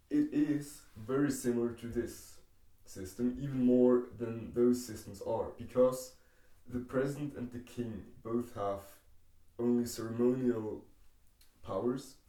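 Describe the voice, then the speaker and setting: A young man from Switzerland with a Swiss accent, English not his first language, slowly explaining politics over diagrams with many pauses and ums. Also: mid-range voice, mellow, recorded from a distance. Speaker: educational YouTuber. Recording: echoey room, voice away from the mic.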